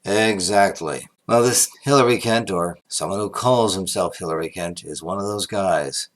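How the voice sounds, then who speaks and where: An actor playing a blustery, earnest and slightly slow-witted police inspector, brusque and emphatic, in old-time radio mystery style. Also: male voice, sits low, close to an everyday voice. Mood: explaining.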